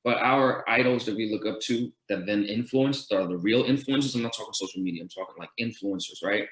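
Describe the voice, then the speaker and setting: A man from Denmark answers a town hall question in a somewhat low voice. He is earnest, trips over restarts and lays out his answer point by point. The room around him rings with a noticeable echo. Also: a little fast, a bit bright. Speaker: guest entrepreneur. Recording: roomy echo.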